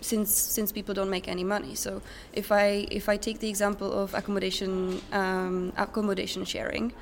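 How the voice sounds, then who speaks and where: A woman's voice: mid-range voice, flat delivery, a little fast. A young woman answering interview questions in English in a hesitant, thoughtful, conversational way. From Czech Republic. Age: young adult. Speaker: interview guest, recent graduate. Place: conference center. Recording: background noise at conference venue. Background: ambient noise, distant sound check.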